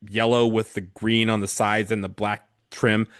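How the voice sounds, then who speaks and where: A man's voice: fairly low pitch, flat delivery, moderate pace, dry recording, clean studio sound. A man co-hosting a podcast, talking casually about football kits in a hesitant way with many fillers and restarts. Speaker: podcast co-host. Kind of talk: casual chat.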